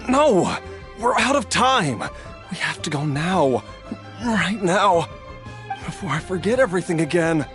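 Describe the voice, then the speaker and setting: An actor playing an ailing, anxious husband in a video game, earnest, halting and pleading with desperate determination. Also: male voice, high-pitched, lively intonation, fairly bright tone, faint music behind. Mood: desperate, urgent.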